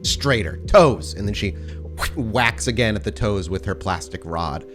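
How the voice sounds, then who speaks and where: A man with a low voice runs a tabletop game as storyteller. He describes scenes deliberately, halting as he improvises. Music plays clearly behind him. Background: music bed.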